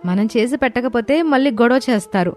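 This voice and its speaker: A woman playing a sensible, patient elder daughter-in-law in an animated story, reasoning and placating. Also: a little high, quick pace, lightly acted. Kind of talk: animated moral story dialogue. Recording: voice over prominent music bed.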